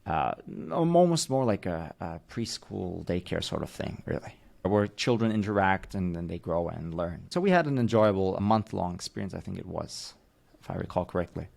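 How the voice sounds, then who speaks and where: A man in his thirties from the United States talks on a podcast in a measured, reflective way, often stopping to rephrase himself. His voice sits fairly low, with a noticeable gravelly fry running through it. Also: sing-song, darkish tone. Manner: measured, thoughtful storytelling with careful rephrasing. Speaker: podcast co-host.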